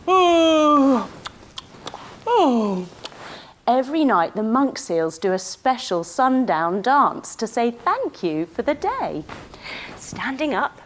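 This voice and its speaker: Very animated, high-voiced woman telling children's stories. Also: slight background noise. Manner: playful, expressive, encouraging, acting out sounds and movements. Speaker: children's yoga instructor and storyteller. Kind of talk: animated storytelling lesson for young children.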